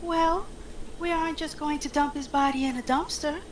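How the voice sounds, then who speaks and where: A woman's voice: sits high, fairly even intonation, normal speed, natural voice. A woman playing a stubborn, reluctant female getaway driver in a crime script. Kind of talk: script table read. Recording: distant mic, faint background noise.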